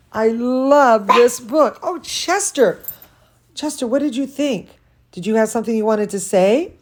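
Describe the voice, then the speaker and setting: A woman reading a bedtime storybook aloud to children, expressive and cooing, speaking as if to very young listeners. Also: medium pitch. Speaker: children's storyteller, dance studio teacher.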